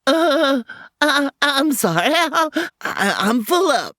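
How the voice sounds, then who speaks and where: A woman's voice: sits high, sing-song, slow pace, a bit bright, no room sound, studio quality, heavily acted. A woman playing a flustered older landlady who hesitates and stammers, in a comedy audio drama. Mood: nervous.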